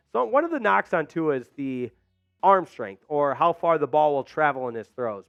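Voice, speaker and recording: A man in his thirties hosting a live sports show on a headset mic, energetic and emphatic, slightly hoarse. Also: on the high side, dry recording, dull audio. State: losing his voice. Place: small studio, indoors.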